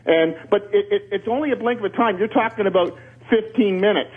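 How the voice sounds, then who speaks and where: A high-voiced man with a rough, husky edge, calling a radio show over a slightly dull line. He explains technical aviation matters plainly, sprinkling in jokes and anecdotes. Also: a little fast. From Canada. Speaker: radio show caller, former air traffic controller. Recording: muffled band-limited radio phone-in call.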